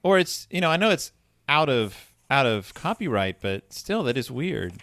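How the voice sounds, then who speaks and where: A man co-hosting a casual book discussion podcast, talking in a halting, rambling way that often interrupts itself. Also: medium pitch, sing-song, a bit bright, dry recording, clean studio sound. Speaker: podcast co-host.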